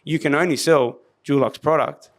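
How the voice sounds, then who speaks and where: An Australian man with a somewhat squeaky voice, a podcast guest telling stories in a casual interview. He acts out what other people said and often restarts his sentences. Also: steady tone, moderate pace. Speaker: podcast guest, business founder.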